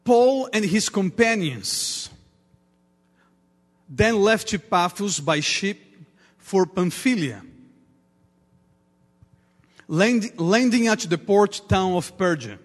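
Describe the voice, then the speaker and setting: A man with a Portuguese accent, a native Portuguese speaker, preaching a sermon earnestly in halting English with repeated words. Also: sits high. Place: large reverberant church room. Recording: reverberant hall with long echo.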